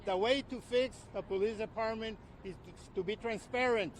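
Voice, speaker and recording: High-voiced man calling out officials. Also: slight background noise. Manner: measured, with repeated stumbles. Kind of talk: press conference statement.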